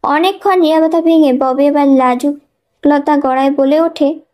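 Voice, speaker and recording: Female story narrator, high voice, scripted dramatic storytelling. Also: fairly even intonation, measured pace, a little mellow.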